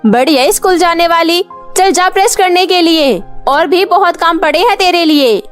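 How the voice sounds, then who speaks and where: A woman's voice: very high-pitched, animated, normal speed. A woman voicing a cruel, scolding aunt in a cartoon, harsh and insulting. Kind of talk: cartoon voice acting. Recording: faint music behind.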